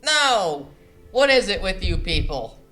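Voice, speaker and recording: A man's voice: sits high, very animated, takes it slowly, bright, forceful tone, soft background music, exaggerated voice. A young man playing an exasperated, self-pitying middle-aged mob boss in a comic student radio play, whiny and blustering. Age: young adult. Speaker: actor in a student audio play.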